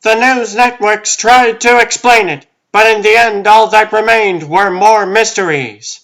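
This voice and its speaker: A man's voice: high voice, steady tone, unhurried, fairly bright tone, poor audio. A man playing a solemn storyteller, giving scripted dramatic narration for a superhero comic. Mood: solemn, wondering.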